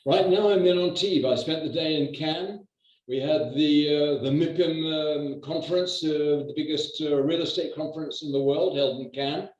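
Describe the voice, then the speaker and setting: An older man from New Zealand, a real estate agent, talking in a measured way with lots of hesitations. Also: fairly high pitch, darkish tone. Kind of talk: conversational interview. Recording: echoey distant muffled video call.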